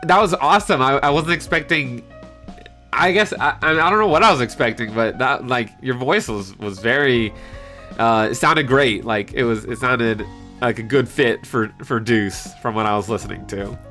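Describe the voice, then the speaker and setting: A man chatting casually on a live stream in an animated, hesitant way, reading out and answering viewer comments. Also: mid-range voice, normal speed. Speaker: livestreamer and amateur voice actor. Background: piano background music.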